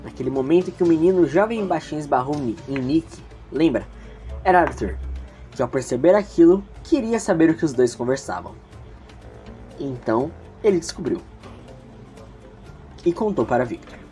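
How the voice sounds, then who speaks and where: A man's voice: fairly high pitch, animated, normal speed, pauses often, warm tone, soft background music. A man narrating a story as the storyteller of an amateur audio drama.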